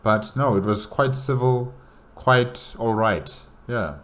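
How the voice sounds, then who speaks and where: A man hosting a solo reaction video with casual, halting, musing commentary. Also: mid-range voice, a bit bright, slight background noise.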